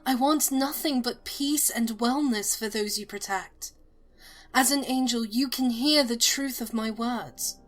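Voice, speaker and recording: A woman's voice: on the high side, soft background music, close to an everyday voice. A woman playing a composed, courteous moonfolk traveler who speaks formally and earnestly in an audio drama. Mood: grave, courteous. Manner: formal, measured.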